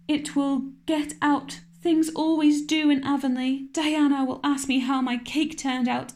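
A woman's voice: high-pitched, a little mellow, voice pushed up. A woman doing a tearful, dramatic young orphan girl. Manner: tearful, breathless, overwrought pleading. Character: imaginative, dramatic orphan girl, about eleven.

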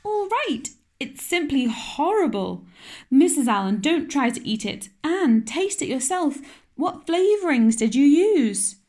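A woman's voice: a little high, animated, normal speed, a little mellow. A woman voicing a stern, practical older woman who speaks curtly and scolds sharply. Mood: scolding.

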